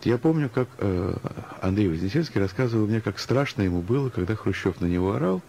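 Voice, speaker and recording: Low, gravelly man in conversation. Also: animated, fairly quick, mellow, a little hiss or hum. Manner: musing, ironic, makes points through anecdotes and hypotheticals. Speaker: interview guest, music professional. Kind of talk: conversational radio interview.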